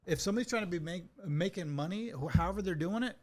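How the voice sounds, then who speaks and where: A man's voice: sits high, fast, a little mellow. A man hosting an interview in casual chat, frank and opinionated, swearing now and then. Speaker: podcast host.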